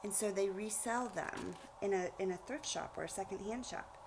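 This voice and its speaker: Woman reading aloud, clear background noise. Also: medium pitch, moderate pace. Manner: explanatory, addresses children directly. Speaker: teacher reading aloud to children. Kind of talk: storybook read-aloud with asides to young listeners.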